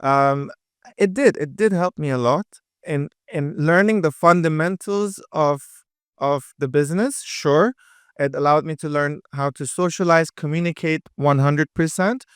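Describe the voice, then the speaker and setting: A lively young man from with a crisp voice. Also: a little high, no room sound, clean studio sound. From Dubai. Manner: energetic, quick, with many fillers and restarts. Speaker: podcast guest, entrepreneur. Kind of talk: casual interview chat.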